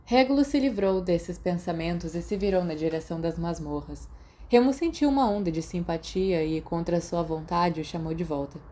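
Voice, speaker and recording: A woman's voice: on the low side, normal speed, warm tone. A woman with a Brazilian accent reading scripted fiction as an audiobook narrator. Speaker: podfic narrator. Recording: noticeable background noise.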